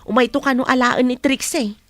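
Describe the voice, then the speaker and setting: A woman's voice: sits high, fairly even intonation, a little fast, close to an everyday voice. A woman playing a chatty, flustered household cook who grumbles politely, in an Ilocano comedy radio drama, with an Ilocano accent. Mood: chatty, fussy.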